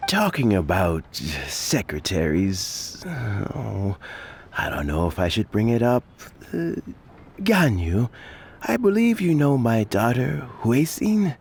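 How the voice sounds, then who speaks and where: An actor playing a genial, wordy old official in a video game, rambling with gentle, hesitant courtesy. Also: male voice, medium pitch, big pitch swings, slow, gravelly, slightly rough, heavily acted. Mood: hesitant, concerned. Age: older.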